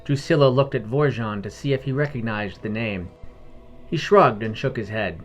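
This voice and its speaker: A man narrates a scripted fantasy tale as storyteller. His voice sits at a medium pitch, with music behind it. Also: normal speed.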